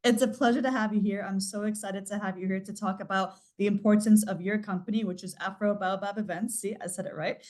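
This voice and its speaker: A woman podcast host who talks very quickly at a medium pitch. Her delivery is upbeat and emphatic, full of enthusiasm as she interviews a guest. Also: fairly even intonation, darkish tone.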